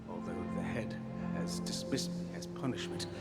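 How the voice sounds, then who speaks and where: A meek, halting servant in reverb. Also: male voice, low-pitched. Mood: contrite. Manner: halting, deferential, earnest. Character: meek, guilt-ridden male household servant confessing, devout. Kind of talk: scripted game drama. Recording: cavernous reverb, loud music underneath.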